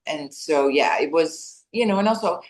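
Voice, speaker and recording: A woman's voice: low-pitched, normal speed. Chatty woman with roomy echo, native Dutch. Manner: animated, chatty, many restarts and laughs. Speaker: actress and executive producer. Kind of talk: interview answers.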